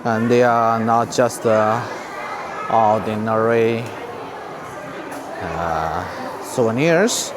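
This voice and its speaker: A man from China with a Chinese accent narrates an informal museum tour vlog in halting, simple non-native English. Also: on the low side, a crowd in the background. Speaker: vlogger giving a museum tour. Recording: clear background noise, on-location ambience.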